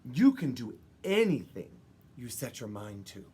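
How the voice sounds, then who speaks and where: A woman voicing a gentle, encouraging manatee mother in a storybook, reassuring her child with emphasis. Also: a bass voice, sing-song, unhurried, breathy edge, voice pushed down, heavily acted.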